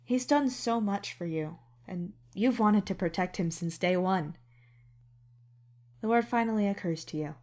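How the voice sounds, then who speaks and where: A woman's voice: medium pitch, unhurried, darkish tone. A woman reading scripted second-person story narration as the narrator of a fiction podcast. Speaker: podfic narrator and author.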